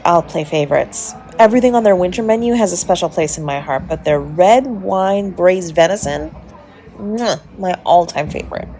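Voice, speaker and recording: A woman's voice: medium pitch, darkish tone. A woman playing a charming, flirtatious stranger at a party, chatty, coy and teasing, in an intimate romantic audio roleplay. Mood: charming, inviting. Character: charming, playfully flirtatious adult party guest, smooth-talking stranger. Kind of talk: romantic audio roleplay, intimate one-sided dialogue to the listener. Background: music behind the voice.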